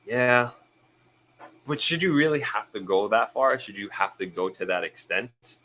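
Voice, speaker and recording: A deep-voiced young man from Jamaica hosts a casual live stream and responds to viewer comments. His tone is bright and forward, and he stops and restarts his sentences often as he works through his thoughts. A faint hum sits behind him. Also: expressive, a little slow. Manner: hesitant, many restarts, thinking aloud.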